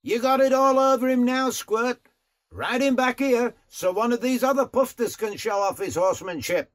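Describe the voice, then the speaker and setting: A man voicing a gruff, foul-mouthed riding boss who barks blunt orders and goads. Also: extremely high pitch, normal speed, voice pushed up. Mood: rough approval. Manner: loud, barking, swearing, blunt.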